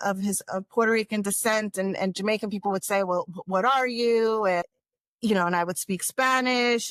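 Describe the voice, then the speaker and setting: A woman's voice: mid-range voice, fairly bright tone, no room sound. A middle-aged woman from New York, a guest in a casual interview, rambling with frequent restarts and laughs. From New York, United States.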